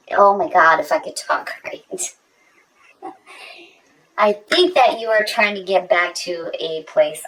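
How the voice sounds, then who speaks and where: Low-voiced woman, distant from the mic. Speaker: tarot reader. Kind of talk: conversational tarot reading addressed to the viewer.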